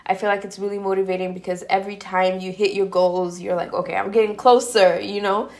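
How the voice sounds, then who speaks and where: A woman's voice: mid-range voice, fairly quick. A young woman from Kenya with a Kenyan accent, chatting casually through a makeup Q&A as she applies her foundation. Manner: chatty and relaxed, talking while doing her makeup. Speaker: beauty YouTuber. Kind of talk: get-ready-with-me Q&A chat.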